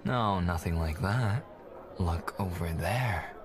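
A man's voice: sits low, slow, noisy background. A man playing a confident, friendly god in an audio roleplay, talking in a casual, teasing way. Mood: teasing, conspiratorial.

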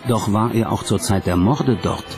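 A man narrating a documentary from a script in a measured, dramatic storytelling way. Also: fairly low pitch. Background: background music.

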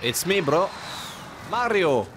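A young French man with a French accent, chatting excitedly while streaming a game, mixing French and broken English. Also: high voice, slow, slight background noise. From France. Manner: animated, shouty, mixes French and broken English. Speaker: gaming streamer. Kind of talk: excited gameplay chat with a stranger. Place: home gaming room. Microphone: headset mic.

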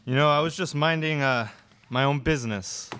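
A clean, smooth male voice plays a hapless Western bank robber acted by a man reading live. He sounds nervous, hesitant and defensive, in a radio play. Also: a little high, moderate pace, sharp tone. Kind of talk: student live radio play read from script.

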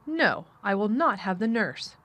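A woman's voice: mid-range voice, big pitch swings, moderate pace, a bit bright, pitched above the performer's own voice. A woman voicing an imperious, spoiled young invalid boy in a storybook, speaking in a grand, haughty manner. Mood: lordly. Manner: haughty, magnificent, declarative.

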